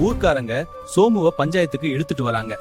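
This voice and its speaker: Expressive man narrating, fairly high voice. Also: fast, soft background music. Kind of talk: scripted moral story narration.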